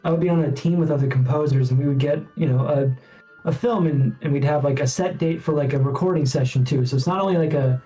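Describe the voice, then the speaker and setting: A man with a fairly high, mellow voice talks casually as a composer. His delivery is halting and informal, with faint music in the background. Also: low-quality recording. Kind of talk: casual chat, answering interview questions.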